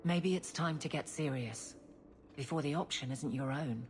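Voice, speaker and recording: A proud woman who captains the guard voiced by an actress for a video game. Her voice sits fairly low and her delivery is blunt, dry and firm. Light ambient noise sits behind her. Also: normal speed, a little mellow, natural voice. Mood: candid, teasing. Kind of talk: video game cutscene acting.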